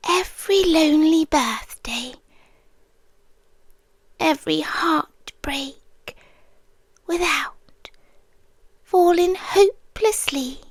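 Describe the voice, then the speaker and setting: A woman's voice: high voice, dry recording, clean studio sound. A British woman voicing a possessive guardian angel. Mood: devoted. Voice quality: breathy, intimate, drifting into whispers. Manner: slow, tender, quietly obsessive. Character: possessive, devoted female guardian angel, ageless. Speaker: ASMR roleplay performer. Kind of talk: soft-spoken ASMR roleplay. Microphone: close mic, dry sound.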